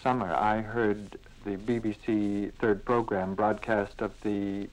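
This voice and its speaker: Male interviewer, fairly low voice, polite and halting, feeling his way through each question. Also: flat delivery, low-quality recording. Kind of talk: unscripted radio-style interview.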